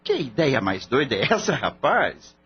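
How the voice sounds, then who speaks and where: A man's voice: mid-range voice, very animated, measured pace. A man playing a skeptical, scornful veterinarian boss in a radio drama, blunt, mocking and lecturing. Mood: mocking, amused. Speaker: voice actor. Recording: dull lo-fi audio, faint hum.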